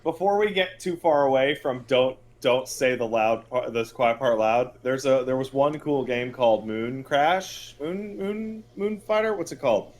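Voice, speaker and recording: A man's voice: medium pitch, expressive, a little slow, few pauses. A man co-hosting a podcast with wry, mocking, profane commentary on games, acting out imagined quotes. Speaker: podcast co-host.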